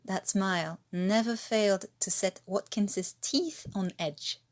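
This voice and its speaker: A native French-speaking woman with a French-tinged English accent, reading a story aloud as an expressive narrator. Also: mid-range voice, slow. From France. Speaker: fan fiction author reading aloud.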